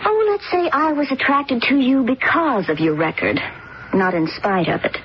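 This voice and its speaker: A cool, calculating young heiress talks in an even, controlled way as she plots a murder in a classic radio drama. The broadcast sounds dull and muffled, with clear hiss behind it. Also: high voice, moderate pace. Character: young rich woman scheming murder. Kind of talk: 1970s radio drama acting.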